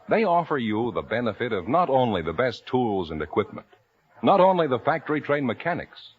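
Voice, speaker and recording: A man's voice: mid-range voice, slightly rough. A man announcing on a 1950s radio show with formal, emphatic delivery. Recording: dull 1950 radio recording.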